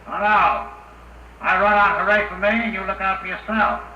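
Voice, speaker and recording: A man's voice: high-pitched, little pitch movement, normal speed, natural voice. An actor playing a brash, slangy, wisecracking spaceman in a 1950s radio drama, breezy and stubborn. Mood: stubborn resolve. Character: brash, wisecracking working-class spaceman, stubbornly self-sacrificing. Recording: noisy, roomy, muffled old radio.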